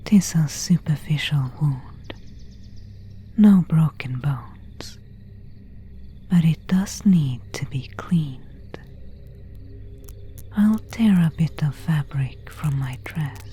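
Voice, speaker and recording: A woman voicing an elegant vampire countess in a whispered ASMR roleplay, courteous and quietly predatory, with formal, old-fashioned diction. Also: sits low, animated, slow pace, pauses often, exaggerated voice. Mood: gently solicitous. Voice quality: breathy whisper.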